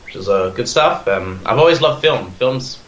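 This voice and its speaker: A young Australian man in his twenties, chatting eagerly as a podcast guest. His talk rambles, and he restarts his sentences and leans on fillers. His voice sits at a middling pitch and sounds echoey, as if recorded in a bare room. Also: animated, normal speed, a bit bright, muffled recording. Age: 20s. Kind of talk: casual chat.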